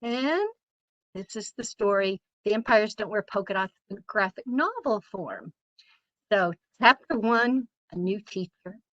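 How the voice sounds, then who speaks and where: A woman children's author, cheerful and animated, reading aloud to kids over a video call. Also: medium pitch, a little slow, dry recording, dull audio.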